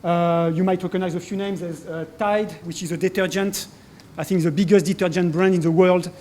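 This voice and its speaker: A man's voice: high voice, fairly even intonation, brisk, darkish tone. A man in his forties with a French accent, giving a conference presentation as a corporate speaker, hesitant with many fillers and restarts. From France. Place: conference hall.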